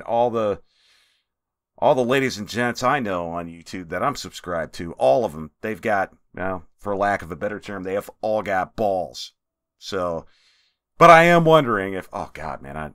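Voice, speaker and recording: Man, game commentary, jokey, self-mocking, bright, dry recording. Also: low-pitched, no room sound. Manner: joking, self-mocking, loud swings in energy. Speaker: gaming YouTuber. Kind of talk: casual let's-play commentary.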